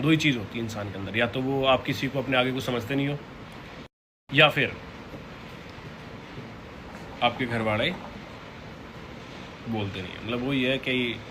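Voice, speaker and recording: A man addressing followers informally and unscripted, halting and repeating his phrases, in a noisy recording. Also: medium pitch. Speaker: online content creator. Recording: clearly noisy ambient background.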